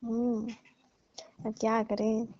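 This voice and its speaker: A woman's voice: a little high, completely flat delivery, slow, warm tone, no echo. A woman chatting casually and explaining herself in a comic phone conversation. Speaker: caller playing a farm owner. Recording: dull lo-fi phone call recording.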